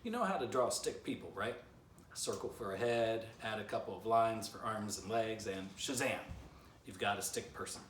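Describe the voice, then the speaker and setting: A man's voice: lowish voice, moderate pace, a bit bright, slight background noise. A man in his fifties reading his own children's book aloud, with playful, chatty asides to young listeners. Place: living room by a fireplace, indoors. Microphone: camera a few feet away. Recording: roomy, slightly distant home recording.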